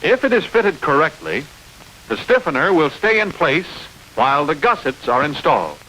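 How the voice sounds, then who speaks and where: Bright-voiced man narrating, hissy old film. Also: fairly high pitch, sing-song, moderate pace, rough recording. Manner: clear step-by-step instruction. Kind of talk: scripted instructional film narration.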